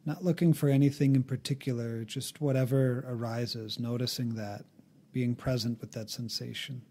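A man narrating a guided meditation in a calm, gently instructive way, leaving long pauses between phrases. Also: mid-range voice.

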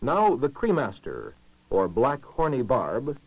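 A man's voice: mid-range voice, wildly swooping pitch, dry recording. Man narrating a vintage lo-fi educational film. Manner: formal, measured, clearly enunciated delivery. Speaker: documentary narrator. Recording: muffled lo-fi 1960s film soundtrack.

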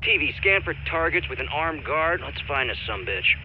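An actor playing a confident American mercenary commander, giving terse military orders in a game. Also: male voice, fairly high pitch, very animated, moderate pace, lo-fi sound, a little hiss or hum. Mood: focused, commanding. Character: confident American male mercenary commander directing air support. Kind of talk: military game radio dialogue.

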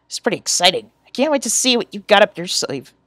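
A woman's voice: mid-range voice, sing-song, fast talker, a bit bright, no echo. A woman voicing a goofy, earnest teenage boy from a webcomic, playful and exclamatory, in an amateur character reading. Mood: excited, teasing.

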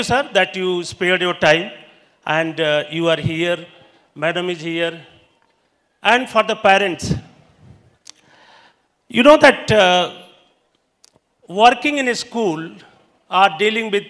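High-voiced Indian man in an echoing hall. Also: a bit bright. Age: middle-aged. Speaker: school principal. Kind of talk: formal welcome speech. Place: large indoor school auditorium stage. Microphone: handheld microphone. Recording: echoey large auditorium PA.

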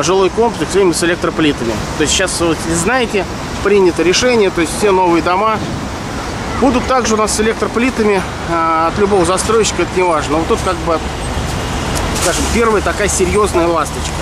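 High-voiced man rambling outdoors by traffic. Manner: chatty, rambling with many fillers and restarts. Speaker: real estate agent. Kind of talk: casual walking video tour. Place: outdoors in a city park by a busy road. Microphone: handheld camera mic at arm's length. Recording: street traffic noise behind.

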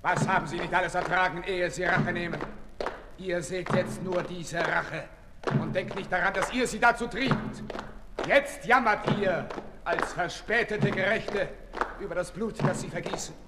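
A man's voice: sits high, normal speed, close to an everyday voice. An actor playing a bitter, accusing revolutionary man, declaiming like an orator in a 1960s radio drama. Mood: stern. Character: fervent, accusing male revolutionary, bitter and relentless. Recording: 1965 radio play, long reverb, loud noise.

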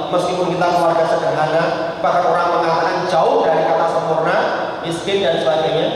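Echoey high-voiced Javanese-accented male preacher. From Indonesia. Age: middle-aged. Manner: rhetorical questions answered by himself. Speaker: Islamic preacher and psychologist giving a parenting talk. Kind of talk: public religious lecture, explanatory. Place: large indoor hall, seated at a podium table. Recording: echoey hall, distant mic, faint noise.

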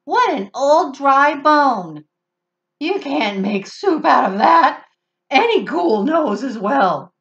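Doubting ghoul villager, scoffing and loud, read by a woman. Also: high-pitched, very animated, takes it slowly, lo-fi sound, pitched above the performer's own voice, exaggerated voice.

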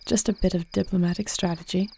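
A woman playing a poised young warrior princess in a fantasy audio roleplay, speaking with formal, measured, regal diction. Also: fairly low pitch, steady tone, vocal fry, warm tone, slight background noise. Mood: tender. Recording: faint night cricket ambience.

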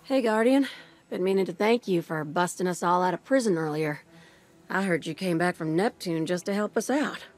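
A woman playing a plucky, warm-hearted pilot in a video game, talking in a casual, folksy, chatty way. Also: fairly low pitch, moderate pace, soft background music, close to an everyday voice. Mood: grateful, chatty.

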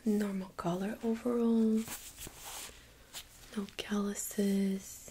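A young woman speaking in a soft, breathy near-whisper, gently playing a medical examiner in an ASMR role-play. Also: medium pitch, level tone, slight background noise, poor audio. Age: young adult. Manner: gentle, unhurried, reassuring. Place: small carpeted exam room, indoors. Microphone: USB microphone on a stand nearby.

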